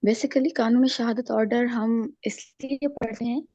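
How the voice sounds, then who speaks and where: A woman explains at an unhurried pace in a soft, mellow voice. The online call audio is dull and close. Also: on the high side, fairly even intonation, dry recording. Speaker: class participant answering a question. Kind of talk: explanation mixing Urdu and English.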